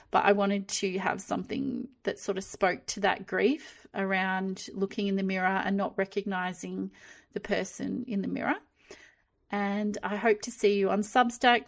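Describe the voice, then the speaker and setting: Woman in her fifties hosting a podcast. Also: medium pitch. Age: 50s. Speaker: podcast host. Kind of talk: reflective solo podcast talk.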